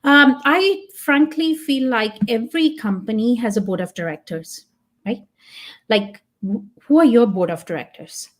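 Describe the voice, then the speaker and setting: Earnest, slightly high-voiced woman guest. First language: Punjabi. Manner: earnest, reflective, thinks aloud. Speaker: podcast guest. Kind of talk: casual interview talk.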